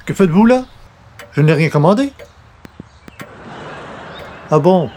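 Actor as a polite, wry Québécois straight man, higher-voiced. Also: lively intonation, slow pace, warm tone, a little hiss or hum. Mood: exasperated, resigned. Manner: polite, dryly ironic, hesitant. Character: polite, wry, put-upon newspaper columnist, straight man. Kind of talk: comedy radio serial acting in 1940s manner.

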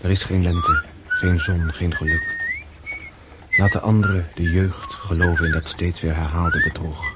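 A man's voice: sits low, wildly swooping pitch, heavily acted. An actor playing a disillusioned, world-weary nobleman in a radio drama, speaking in a brooding, bitter way. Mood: bitter despair. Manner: brooding, slow, bitter delivery. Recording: very noisy old radio drama recording.

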